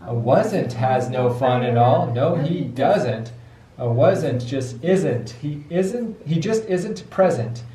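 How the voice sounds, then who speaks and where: A man, a father reading a rhyming picture book aloud to a child in a playful, expressive way. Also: fairly high pitch, slow pace. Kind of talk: storybook read-aloud in rhyme. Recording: echoey room with light background noise.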